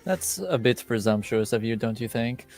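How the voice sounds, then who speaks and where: A man acting as game master, talking casually and deliberately at the table. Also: medium pitch, darkish tone, soft background music.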